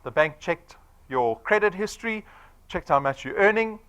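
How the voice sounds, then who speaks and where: A man's voice: high voice, lively intonation, moderate pace, fairly bright tone, smooth. A man of forty with a South African accent, giving a punchy sales presentation to an audience and firing off rhetorical questions. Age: 40s.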